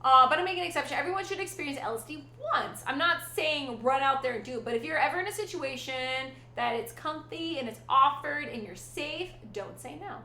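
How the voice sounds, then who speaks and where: Bright-voiced chatty woman streamer. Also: high-pitched, a little fast, hardly any pauses, roomy sound, a little hiss or hum. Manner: rambling, swears casually. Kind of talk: casual chat with live chat.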